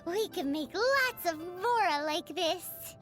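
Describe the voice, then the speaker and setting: A woman voicing a tiny, cheeky, childlike fairy companion in a video game, with a squeaky, emphatic delivery. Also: lively intonation, slow, heavily acted. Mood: eager. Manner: refers to self in third person, emphatic. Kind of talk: video game character dialogue. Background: music.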